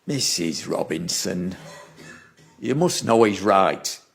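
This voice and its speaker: An actor playing an officious, condescending council official with a formal manner in an audio drama. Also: male voice, low-pitched, expressive, slow pace, creaky, faint music behind, full character voice. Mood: stern, condescending. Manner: formal, pompous, stammers when challenged. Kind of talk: stage-like audio drama acting.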